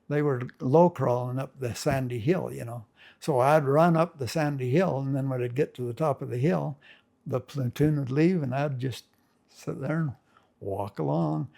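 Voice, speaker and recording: An older man with a gravelly, creaky voice, telling family stories in a loose, rambling way. His grammar is folksy, and he often stops and restarts his sentences. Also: medium pitch, warm tone. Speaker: family storyteller. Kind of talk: casual spoken reminiscence.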